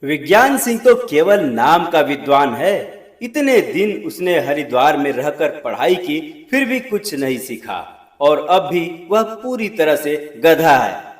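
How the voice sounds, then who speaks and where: Haughty, animated male scholar played by a man. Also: fairly high pitch, takes it slowly. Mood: scornful. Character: arrogant, jealous male scholar, easily offended. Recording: roomy, echoing voice recording.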